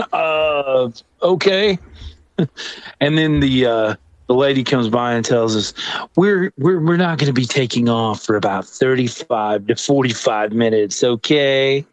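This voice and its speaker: A middle-aged man telling a story in a casual, comedic way. He is animated, acts out other people's lines and laughs often. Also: medium pitch, a little slow, no room sound. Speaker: storyteller guest.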